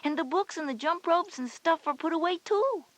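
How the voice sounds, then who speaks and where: A woman's voice: extremely high pitch, lively intonation, moderate pace, a bit bright. A woman playing a confident schoolgirl big sister who explains things reassuringly in a scripted children's audio drama. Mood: reassuring, bossy.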